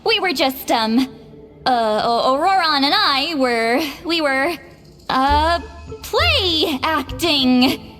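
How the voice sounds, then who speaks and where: A woman's voice: high voice, expressive, slow, a bit bright, big-room sound, faint music behind, exaggerated voice. A woman playing a proud, easily flustered, youthful-sounding granny shaman in an anime-style game, haughty but caring, with sighs and stammers. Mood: flustered, sheepish.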